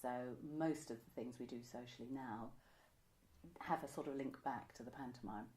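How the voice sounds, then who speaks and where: A woman's voice: rumbling low, moderate pace, darkish tone, low-quality recording. A woman, reminiscing conversationally as an interviewee. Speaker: interviewee, pantomime costume maker. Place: indoors, room by a window.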